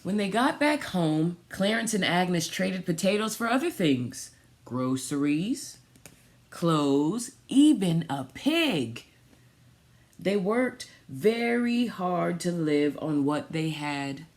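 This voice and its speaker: A woman reading a picture book aloud for children, pausing and adding little asides about the pictures. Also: on the low side, big pitch swings, darkish tone. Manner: pausing, pointing out pictures to young listeners. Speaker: storytime reader for a children's theatre.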